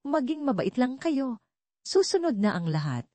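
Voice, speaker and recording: A woman voicing a gentle, protective foster father in an audio drama, speaking in an earnest, measured way. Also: sits high, big pitch swings, dry recording, pitched above the performer's own voice. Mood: tender. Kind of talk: audio drama storybook reading.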